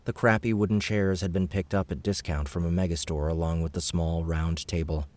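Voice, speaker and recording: A man narrating an audiobook in a measured, even storytelling voice. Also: low-pitched.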